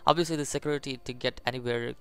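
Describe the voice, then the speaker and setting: A man's voice: medium pitch, steady tone, very fast. A man hosting a gaming video, reading story text aloud with stumbles and adding reactive commentary, faint music behind. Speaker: gaming channel host. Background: faint game music.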